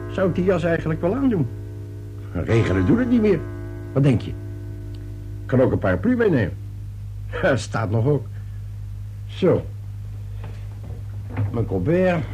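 An actor playing an irritable, defensive middle-aged businessman father in a radio play, with a gruff, impatient, blustering manner. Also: mid-range voice, wildly swooping pitch, slow pace, long pauses, dark tone, faint music behind. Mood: fussy, grumbling. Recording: noisy old radio play recording.

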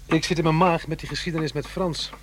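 A man's voice: a little high, fairly even intonation, fast, noisy background, close to an everyday voice. A man playing a troubled, brooding divorced father in a radio drama, earnest and hesitant. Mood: worried, pleading. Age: middle-aged.

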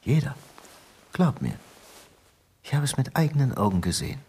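An actor playing a middle-aged male Soviet secret police chief in a radio drama, genial and persuasive, confiding with quiet menace. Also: medium pitch, sing-song, normal speed, slightly rough. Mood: soothing.

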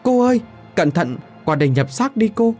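A man's voice: on the high side, unhurried, soft background music, voice pushed up, natural voice. A man voicing a desperate young man. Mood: urgent desperate. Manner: urgent, strained shouting.

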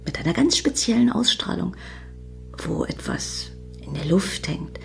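An excitable female fan in a radio drama, rambling and dreamy. Her pitch rises and falls widely as she justifies her obsession, with music playing behind her. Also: a little slow, gravelly, exaggerated voice. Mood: musing. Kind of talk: radio play dialogue.

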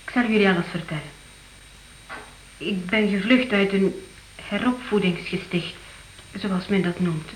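A woman's voice: medium pitch, unhurried, pauses often, slight background noise, lightly acted. Earnest young runaway girl played by an actress, echoing room. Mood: confiding. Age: young adult. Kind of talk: radio play acting.

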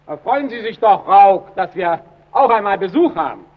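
An actor playing a timid, self-effacing lighthouse engineer in a German radio drama, hesitant, stammering and apologetic. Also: male voice, sits high, lively intonation, moderate pace. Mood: eager. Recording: dull old radio recording.

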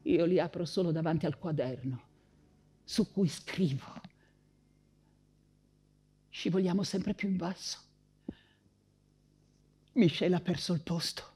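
An actress playing an anguished woman writer and mother fleeing persecution in a wartime stage monologue, theatrical and halting, with anxious repetitions. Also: lowish voice, warm tone, slightly rough. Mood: weary, resigned.

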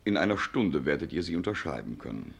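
An actor playing a loyal, frank secretary to a regent in a 1940s German radio play, deferential and deliberate in formal diction. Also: male voice, sits low, very animated, gravelly, husky, exaggerated voice.

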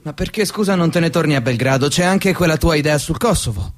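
A man's voice: a little high, fast, lightly acted. An actor playing a weary, evasive male newsroom boss who talks colloquially in a radio drama. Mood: exasperated. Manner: colloquial, hesitant, reluctant. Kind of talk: naturalistic Italian radio drama acting.

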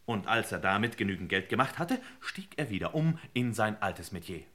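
An actor playing a wry, self-assured detective boss in a 1960s German radio play, droll and deliberate. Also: male voice, fairly low pitch, very animated, sharp tone. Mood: droll storytelling. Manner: droll, deliberate, mock storybook narration.

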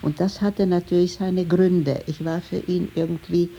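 Low, creaky older woman, very dark tone. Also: steady tone, normal speed, a little hiss or hum. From Prague, Czech lands. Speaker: interviewed eyewitness recalling the past. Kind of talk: personal reminiscence in interview.

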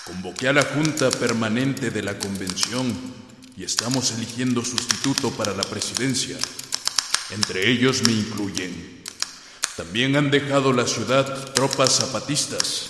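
A man plays a dutiful official of a revolution in a radio drama, formal and measured. His lowish voice rings in a hall, with loud clattering noise over it. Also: natural voice.

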